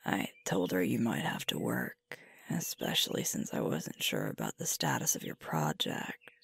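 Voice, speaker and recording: A woman playing a sweet, sleepy alpha werewolf girlfriend in an intimate ASMR roleplay, speaking in a gentle, husky, unhurried murmur close to the microphone. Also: very deep voice, big pitch swings, no echo, full character voice. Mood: sleepy, soft. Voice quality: breathy, husky with creaky fry. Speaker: ASMR performer. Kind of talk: ASMR roleplay, intimate whispered and soft-spoken. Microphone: close mic, dry.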